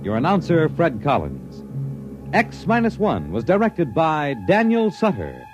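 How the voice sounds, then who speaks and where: A man announcing a 1950s radio drama in a booming, dramatic way. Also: wildly swooping pitch, unhurried. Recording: dull archival radio recording with music.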